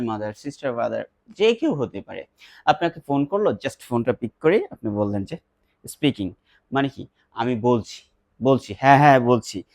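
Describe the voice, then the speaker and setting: A man with a Bangladeshi accent teaching spoken English, instructive, saying example phrases slowly and emphatically and then explaining them. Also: medium pitch, dry recording.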